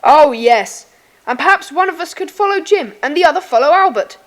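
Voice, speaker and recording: Casting: an eager young schoolkid adventurer in an audio drama, earnest, extremely high and lively voice. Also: female voice, brisk, long reverb tail, low-quality recording. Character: keen, curious young schoolchild in a secret club. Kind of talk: children's audio drama acting.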